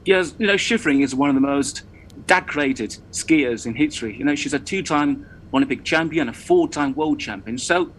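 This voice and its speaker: A man's voice: fairly high pitch, animated, normal speed, fairly bright tone, a little hiss or hum, low-quality recording. A man working as a reporter, chatting on a live news show and often repeating words as he talks.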